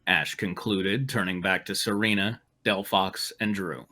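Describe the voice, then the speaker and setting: A man's voice: low-pitched, fairly even intonation, measured pace, bright, forceful tone. A man narrating a story as a scripted reading, with casual comic asides.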